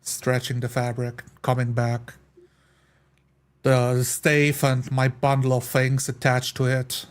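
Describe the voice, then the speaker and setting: A man's voice: mid-range voice, completely flat delivery, slow pace, smooth. A man playing a tabletop roleplay game, improvising his character's actions in casual, tentative, loosely phrased speech.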